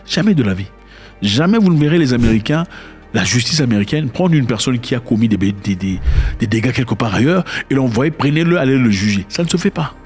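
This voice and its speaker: A man giving casual spoken commentary, emphatic and sarcastic, who repeats words and swings between loud and quiet. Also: mid-range voice, expressive, brisk, soft background music. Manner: sarcastic asides.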